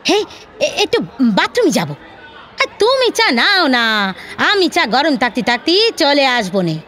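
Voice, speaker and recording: A woman's voice: high voice, sing-song, unhurried, pushed and bright, a faint crowd, exaggerated voice. A woman playing a cheeky, boastful younger housemaid in a Bengali audio drama, argumentative, with a colloquial rural West Bengal accent. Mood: casual, sly.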